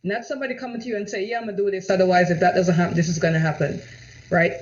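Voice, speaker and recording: Jamaican woman giving a persuasive sales pitch. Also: steady tone, very fast, a little mellow. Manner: persuasive, rhetorical questions, repeats "right?". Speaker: real estate agent. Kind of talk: direct-to-camera sales pitch. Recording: dull lo-fi audio.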